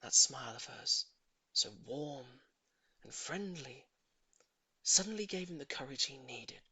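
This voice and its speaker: Woman, storybook narrator, very lively intonation, dramatic delivery, dry close sound. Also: extremely low pitch, a little slow, husky, dry recording. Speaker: audiobook reader.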